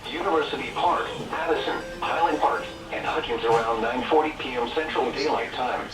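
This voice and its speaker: A synthetic male text-to-speech voice reading scripted emergency weather warnings in a measured, impersonal, item-by-item way over weather radio. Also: mid-range voice, over loud music, low-quality recording. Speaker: automated weather radio alert reader.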